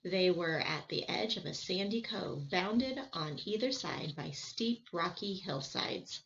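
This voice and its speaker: A woman's voice: on the low side, expressive, slow pace, a bit bright. A woman reading a children's adventure story aloud like a teacher, expressively, with occasional stumbles. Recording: muffled, with clear background noise.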